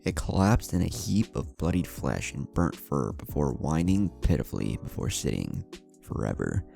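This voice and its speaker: Male narrator for dramatic fiction: very low, gravelly, lively intonation over music. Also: moderate pace. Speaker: narrator of a fan fiction story. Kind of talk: scripted dramatic story narration.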